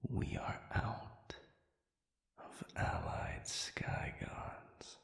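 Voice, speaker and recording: A man playing an ancient, grave demon in a dramatic audio roleplay, speaking in a breathy half-whisper with halting, formal, solemn diction. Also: fairly bright tone, echoey room. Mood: grave warning.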